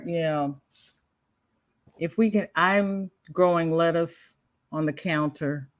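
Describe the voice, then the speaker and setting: A woman hosts a home livestream in a slow, unhurried drawl that sits a little low. She chats and rambles, sounding somewhat congested and stopping often to restart her sentences. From American South. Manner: rambling, chatty, self-interrupting with repeated starts. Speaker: crochet livestream host. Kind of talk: casual chat reading viewer comments.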